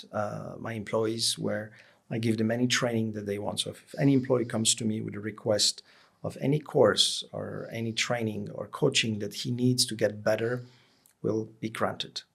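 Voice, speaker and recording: A man in his late forties answers interview questions in a low register. His voice has a lot of fry in it. He talks reflectively, pausing to search for the right phrase. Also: little pitch movement, a bit bright. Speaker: interview guest, business executive.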